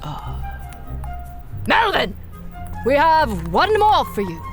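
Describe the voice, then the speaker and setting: Casting: playful corporate henchman recruiter, animated, slow-paced, radio-play delivery. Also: sits high, faint music behind, heavily acted. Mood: teasing, cheerful. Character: breezy female villain recruiter, businesslike, teasing. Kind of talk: audio drama acting.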